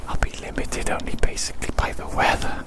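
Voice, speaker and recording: An ASMR creator rambling chattily in a breathy, unvoiced whisper outdoors, softly repeating phrases. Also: brisk. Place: outdoors in the woods in winter. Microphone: action camera.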